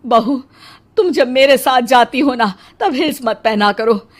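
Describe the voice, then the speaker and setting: A woman's voice: high voice, measured pace, pitched above the performer's own voice. A woman voicing a complaining, embarrassed mother-in-law in a cartoon story, fretful in manner. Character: short, embarrassed mother-in-law scolding her tall daughter-in-law.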